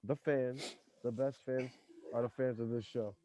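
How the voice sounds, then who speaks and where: A man's voice: mid-range voice, fairly even intonation, unhurried, warm tone, no room sound, low-quality recording. A man hosting a podcast in loose, profane casual chat, talking in restarts. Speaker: podcast host.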